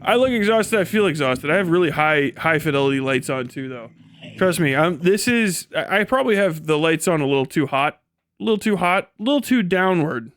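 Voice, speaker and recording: A man's voice: fairly high pitch, lively intonation, fairly quick, fairly bright tone. A man in his late thirties hosting a casual livestream, rambling and swearing freely with a self-deprecating manner. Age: late 30s. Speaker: livestream host.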